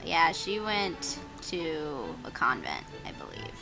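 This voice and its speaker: A woman's voice: low voice, wildly swooping pitch, slow pace, over music. A woman co-hosting a casual let's play, reading a story game aloud expressively and adding amused asides.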